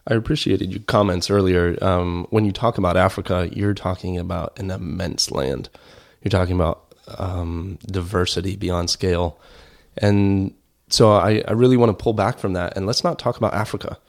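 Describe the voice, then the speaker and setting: A man from Kenya talks as a guest in a conversational interview. His voice sits fairly low, and he explains things earnestly, repeating phrases to press a point. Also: fairly even intonation, normal speed, gravelly, few pauses. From grew up in Kenya.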